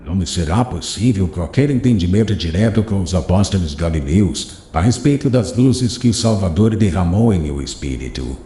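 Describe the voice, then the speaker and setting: Man doing old rabbi, solemn and fatherly, low dark voice, roomy echo, audiobook. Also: animated. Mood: solemn counsel. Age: older. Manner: solemn, paternal, measured. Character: old wise male rabbi, gentle teacher. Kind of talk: audiobook character reading.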